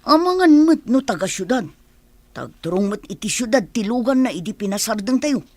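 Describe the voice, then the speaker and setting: A woman's voice: fairly high pitch, lively intonation, a little fast, dry recording. A woman with an Ilocano accent playing a wary robber henchman in a radio drama.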